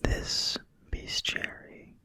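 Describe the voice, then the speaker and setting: Breathy, very slow ASMR whisperer. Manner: quiet, slow, deliberate phrasing. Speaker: ASMR video host and reader. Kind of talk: whispered ASMR reading of a game manual.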